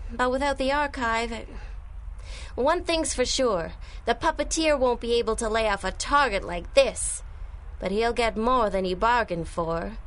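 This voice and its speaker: Woman playing an earnest, high-voiced young heroine. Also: a bit bright, slight background noise, lightly acted. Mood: determined. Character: earnest young female superhero from the future. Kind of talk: radio drama acting.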